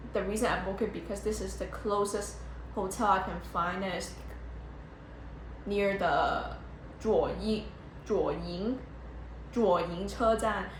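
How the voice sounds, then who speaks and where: A young woman vlogging casually as she tours a budget hotel, chatty and excitable, full of "like"s and giggles, filmed on a handheld phone. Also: mid-range voice. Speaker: travel vlogger. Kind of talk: casual travel vlog. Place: budget hotel room and entrance. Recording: echoey room with loud background noise.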